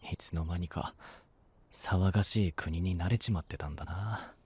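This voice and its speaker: An actor playing a brusque, guarded young knight with a curt manner in an anime-style audio drama. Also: male voice, deep voice, moderate pace, a little mellow. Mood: pensive, curt. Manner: curt, gruff, reluctant.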